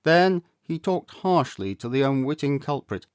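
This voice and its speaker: A man reading a story aloud as an audiobook narrator, expressive and theatrical, with measured delivery. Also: a little high, clear, resonant tone, dry recording, studio quality. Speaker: audiobook reader, actor.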